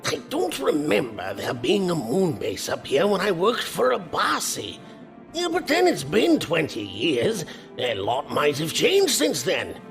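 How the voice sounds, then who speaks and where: Older male professor, played by an actor, high voice, very animated pitch, fussy and wry, sci-fi audio drama. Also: measured pace, vocal fry, soft background music, heavily acted. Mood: musing. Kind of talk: science fiction audio drama acting.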